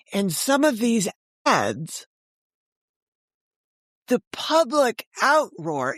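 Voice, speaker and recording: Interview guest: woman, 60s, American, emphatic conversational storyteller, mid-pitched voice, close dry sound. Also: no room sound. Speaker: guest, author and audio drama creator.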